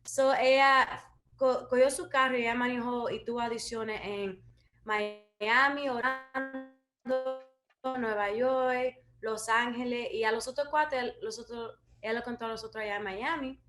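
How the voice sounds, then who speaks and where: A woman's voice: fairly high pitch, fairly even intonation, moderate pace, a bit bright, soft background music. Woman guest in an echoey room. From USA, New Jersey and Florida. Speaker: singer, interview guest. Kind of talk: casual interview.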